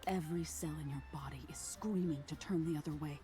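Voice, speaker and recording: A woman voicing Nightmare, a hushed dark spirit. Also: low voice, soft background music, lightly acted. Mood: ominous. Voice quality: hushed, whispery. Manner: slow, soothing, ominous storytelling. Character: nightmare spirit, soft, eerie, gently menacing. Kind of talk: game character narration.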